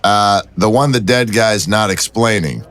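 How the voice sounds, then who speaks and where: An actor playing a wry, persistent city detective who talks in dry, teasing banter. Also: male voice, fairly low pitch, a little slow, a bit bright.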